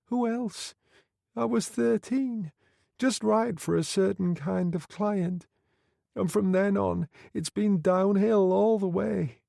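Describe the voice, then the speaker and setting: A man's voice: high-pitched, takes it slowly, creaky, mellow, no room sound, clean studio sound, pitched above the performer's own voice, exaggerated voice. A man voicing a frightened young female prostitute who pleads and sobs. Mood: distraught. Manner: pleading, sobbing.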